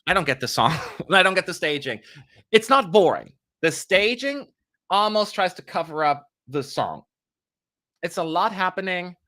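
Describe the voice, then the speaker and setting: A man's voice: sits high, big pitch swings, moderate pace, bright, forceful tone. A young man hosting a live stream, chatting casually with playful asides and jokes. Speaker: livestream host.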